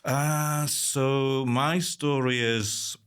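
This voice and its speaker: A Scottish-born man being interviewed as a guest, talking in a hesitant way, repeating words and trailing off quietly. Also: medium pitch, fairly even intonation, very slow, smooth. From Scotland. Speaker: guest architect. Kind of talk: conversational interview.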